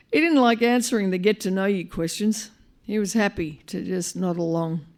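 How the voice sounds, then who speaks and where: Expressive Australian woman reading a story. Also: mid-range voice, measured pace. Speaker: actor reading a short story. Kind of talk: live literary story reading. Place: live on stage at a small venue.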